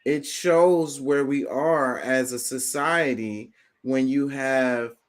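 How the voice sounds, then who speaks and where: A man's voice: mid-range voice, slow pace. A man co-hosting a casual talk show, pressing a point and setting up comments to read. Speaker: podcast co-host. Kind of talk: casual discussion.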